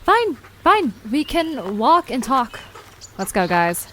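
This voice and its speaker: A woman's voice: high voice, big pitch swings, takes it slowly, a bit bright, a little hiss or hum, heavily acted. A woman playing a casual, impulsive young woman who leads her friends in an audio drama. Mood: resigned.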